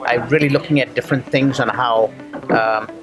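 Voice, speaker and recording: A man's voice: medium pitch, steady tone, normal speed, poor audio. A man interviewing a guest in a casual chat, talking in a chatty, effusive and jokey way. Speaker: interviewer and marketer. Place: indoors on a couch. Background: background music.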